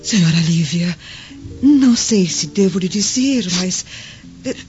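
A woman's voice: fairly low pitch, lively intonation, measured pace, a little mellow. An actress in a radio drama playing a devoted, earnest servant woman who speaks hesitantly and respectfully. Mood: hesitant, hopeful. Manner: hesitant, deferential. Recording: dull lo-fi audio under music.

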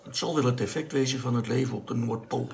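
A man's voice: mid-range voice, fast, gravelly, natural voice. A man acting a worrying, informal space crewman in a radio play. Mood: worried. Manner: informal, fretful. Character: Jimmy, chatty worrying male space crewman. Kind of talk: radio drama dialogue.

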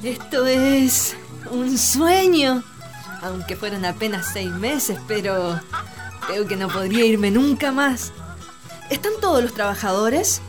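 A young, well-born lady in a radio drama, affectionate and expressive. Her voice is high and takes its time, with breathy sighs in the delivery. Music plays clearly behind her. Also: slow. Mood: overjoyed. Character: young wealthy lady, tender, happy to be home.

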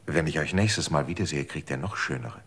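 A man's voice: extremely low pitch, lively intonation, fairly quick, gravelly, a bit bright, slightly rough, heavily acted. An actor playing a desperate, manipulative man in a radio drama, coaxing and then threatening. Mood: scheming. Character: desperate, manipulative man seeking longer life.

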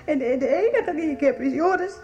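An earnest young woman in a radio soap. Her voice is fairly high and sounds vulnerable, with faint music in the recording. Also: animated, brisk, mellow, highs cut off. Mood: frightened, sad. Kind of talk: 1990s radio soap acting.

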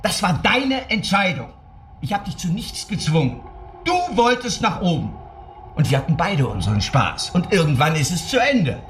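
A cool, dismissive boss. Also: male voice, high-pitched, sing-song, normal speed, a bit bright, a little hiss or hum. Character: married male boss, smooth, dismissive ex-lover. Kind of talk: radio drama acting.

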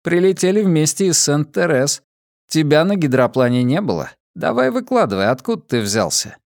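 Guy doing a pushy fat little fisherman, suspicious, pitched up, grilling someone in an audiobook. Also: very animated, normal speed, creaky, darkish tone, no echo, studio quality. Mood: accusing, stern. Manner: challenging, interrogating. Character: short fat fisherman, suspicious and bossy man.

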